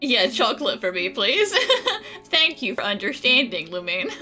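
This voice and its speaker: A woman's voice: a little high, very animated, measured pace, loud music behind the voice. A woman giving casual playthrough commentary on a game, with giggly, hesitant asides. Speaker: gamer playing and commenting.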